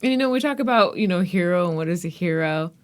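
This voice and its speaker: A woman's voice: fairly low pitch, animated, fast talker. A woman hosting a podcast interview in a conversational, articulate and reflective way.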